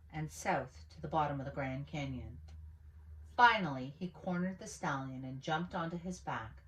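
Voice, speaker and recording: A woman reading a picture book aloud as a storyteller, with animated, dramatic emphasis on key words. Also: sits low, rough recording. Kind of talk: expressive children's story read-aloud.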